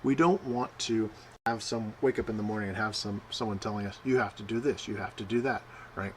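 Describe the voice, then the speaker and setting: A man with a fairly low voice gives a loose, conversational sermon, filling gaps with "uh" and checking in with "right?". A faint hum of room noise sits under the recording. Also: a little fast, low-quality recording. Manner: rambling, many fillers, asks "right?" often. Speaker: preacher. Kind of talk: informal sermon.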